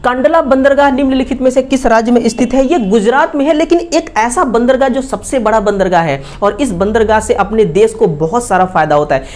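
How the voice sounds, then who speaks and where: A woman with an Indian accent teaching exam questions, repeating key facts as quick rhetorical questions with frequent checking tags. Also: on the high side, a little mellow. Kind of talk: exam question analysis lesson.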